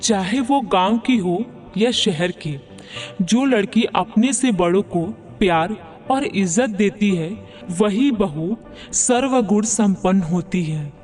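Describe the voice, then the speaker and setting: Man putting on a slow, scolding older mother-in-law. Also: high voice, animated, soft background music. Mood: regretful, reproachful. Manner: sharp-tongued, complaining. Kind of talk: Hindi moral-story cartoon dubbing.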